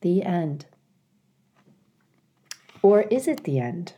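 Woman storyteller, unhurried, soft mellow tone, expressive children's book reading. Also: on the low side, husky, lo-fi sound. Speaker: story reader, school staff. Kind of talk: expressive children's audiobook narration.

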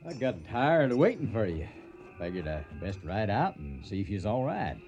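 An actor playing a plain-spoken cowboy with a folksy drawl in a western radio drama. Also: male voice, lowish voice, big pitch swings, moderate pace, a little mellow, soft background music. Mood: earnest. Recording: muffled old radio recording.

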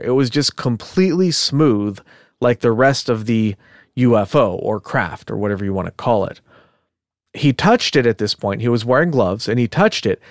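A man's voice: mid-range voice, no room sound, studio quality. Man casually retelling a story. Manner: chatty, relaxed, thinks aloud with fillers and asides. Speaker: podcast co-host.

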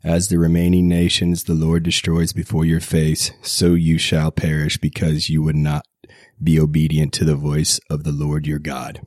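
A man's voice: a bass voice, moderate pace, dry recording, clean studio sound. A man who is getting over a cold hosts a podcast and reads scripture aloud steadily, correcting himself now and then. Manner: steady reading with self-corrections. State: recovering from a cold. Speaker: podcast host reading scripture. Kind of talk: scripture reading.

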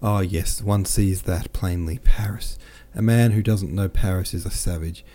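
A man's voice: sits low, steady tone, moderate pace, warm tone. A man voicing a jovial, boastful French army captain who chatters in exclamations.

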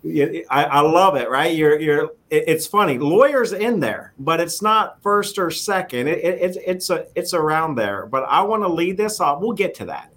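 A man's voice: fairly high pitch, lively intonation, normal speed, few pauses, fairly bright tone. A man hosting a podcast interview, talking in a folksy way with stumbling restarts. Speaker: podcast host.

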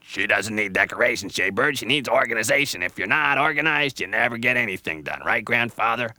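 A man voicing a bossy squirrel, brisk, pushy and insistent. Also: mid-range voice, expressive, pushed and bright, no echo. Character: bossy, fussy male squirrel organizer.

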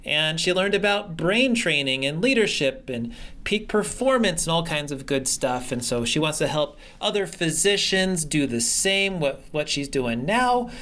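A man's voice: on the high side, lively intonation, measured pace, pushed and bright. A man hosting a podcast interview, upbeat and enthusiastic, a bit rambling. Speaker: podcast host. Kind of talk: interview conversation.

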